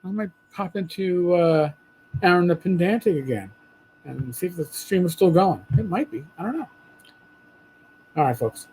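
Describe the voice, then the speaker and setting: A man hosting a podcast, talking bluntly and casually with a hoarse voice after losing it. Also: high-pitched, lively intonation, moderate pace, long pauses, darkish tone, slight background noise, low-quality recording. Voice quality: husky. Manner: blunt, profane, rambling. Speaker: podcast host. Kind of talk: casual monologue.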